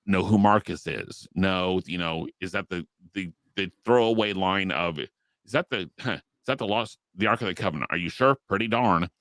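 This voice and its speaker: A man's voice: sits low, moderate pace, a bit bright, no echo. A man giving thoughtful, argued opinions as a panelist in a casual podcast discussion.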